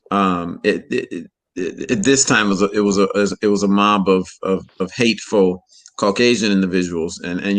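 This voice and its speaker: A man in his forties speaks to young listeners in a measured, deliberate way, often repeating a word before going on. His voice sits somewhat low for a man. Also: a bit bright. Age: 40s. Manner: measured, repeats words while searching. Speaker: community elder speaker. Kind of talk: public address.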